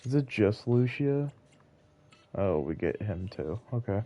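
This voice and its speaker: A man's voice: fairly low pitch, lively intonation, unhurried, warm tone, dull audio, slight background noise. A man giving casual, rambling, self-deprecating live commentary as he streams a game, musing to viewers. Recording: game music behind voice. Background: video game music.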